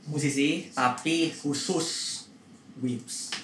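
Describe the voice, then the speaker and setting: Bright, sharp-voiced young man. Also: on the high side, steady tone, extremely slow. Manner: joking, flippant. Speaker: guest, musician. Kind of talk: casual slangy chat. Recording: echoey room, faint noise.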